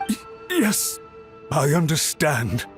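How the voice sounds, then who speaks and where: An actor playing a cowed, deferential servant in a video game, with a hesitant, submissive and halting delivery. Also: male voice, a little high, huge pitch swings, over music, full character voice. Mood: nervous, deferential. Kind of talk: scripted video game voice acting.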